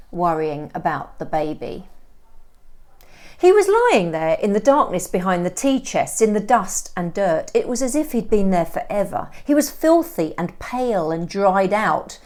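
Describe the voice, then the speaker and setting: A woman reads a story aloud as a teacher would, with expressive, clearly phrased delivery. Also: on the low side. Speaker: English teacher.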